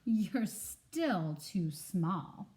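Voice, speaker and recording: A woman voicing big, scornful animal bullies in a children's picture book, loud, slow and taunting. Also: mid-range voice, sing-song. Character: scornful big male football animals: eagle, buffalo, bear.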